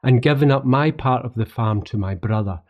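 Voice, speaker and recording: An actor playing a wry, roguish young Scottish poet, telling his own life in literary, measured monologue for a radio play. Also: mid-range voice, dull audio, natural voice. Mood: sombre, resigned. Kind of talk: first-person radio play monologue with folk songs.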